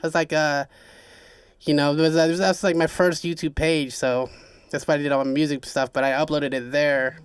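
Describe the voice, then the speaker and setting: A man's voice: on the high side, little pitch movement, moderate pace, smooth, no echo, studio quality. A man talking casually as a podcast guest, laid-back and slangy, with a nasal voice. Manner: laid-back slangy talk, laughs often. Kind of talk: casual chat.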